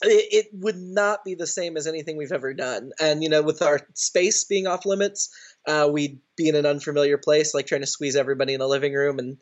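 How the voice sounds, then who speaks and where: A man's voice: a little high, fairly quick. A man being interviewed as a guest, explaining things casually over a slightly lo-fi line. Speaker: interview guest, writer and performer.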